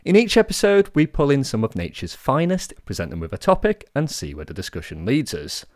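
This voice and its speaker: A man hosting a science podcast, reading a scripted introduction in a measured way. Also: mid-range voice, huge pitch swings, no room sound, studio quality. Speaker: podcast host.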